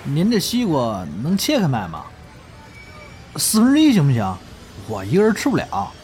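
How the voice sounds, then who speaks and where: A man acting an eager, animated young comedy hopeful. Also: fairly high pitch, moderate pace, faint music behind. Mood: polite, friendly. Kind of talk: Chinese audio drama dialogue.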